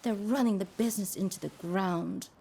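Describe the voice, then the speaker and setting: A woman's voice: medium pitch, moderate pace, vocal fry, warm tone, slight background noise, natural voice. A woman playing a polite, anxious and devoted geisha with a deferential manner in a video game cutscene. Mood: polite, troubled.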